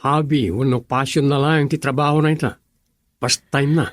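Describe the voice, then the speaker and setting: A man's voice: medium pitch, steady tone, normal speed, no room sound, studio quality, natural voice. A man acting a gossipy but levelheaded buddy who gives advice in an Ilocano radio drama.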